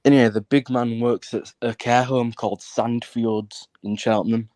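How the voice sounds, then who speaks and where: A man's voice: mid-range voice, steady tone, normal speed, darkish tone, no room sound. A British man in casual conversation, talking in a blunt, insistent way and swearing freely. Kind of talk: casual chat.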